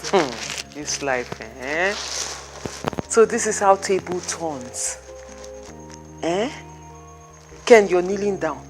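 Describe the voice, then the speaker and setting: A woman's voice: fairly low pitch, animated, slow pace, pauses often, low-quality recording. A woman plays a defiant wife who mocks the husband begging at her feet. Her tone is scornful and cutting, with faint music behind her. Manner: mocking, scornful. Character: defiant wife about to emigrate with her children.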